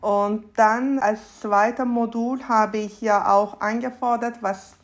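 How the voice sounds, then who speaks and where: A woman's voice: a little high, steady tone, slow pace. A woman in her thirties from Turkey with a Turkish accent, answering conversationally as a podcast guest and often giggling between phrases. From Istanbul, Turkey. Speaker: podcast guest, SAP consultant.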